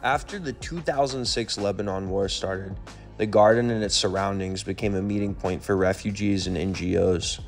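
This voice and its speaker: A man's voice: lowish voice, normal speed, music in the background. A man hosting a travel vlog, narrating a city walking tour in an informative, easygoing way. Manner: informative, easygoing, with casual fillers.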